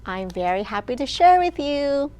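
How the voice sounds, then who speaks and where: A woman children's librarian reading a picture book aloud to young children, sing-song, with questions and pauses for them to answer. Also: high voice, sing-song, darkish tone. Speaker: children's librarian and storytime host.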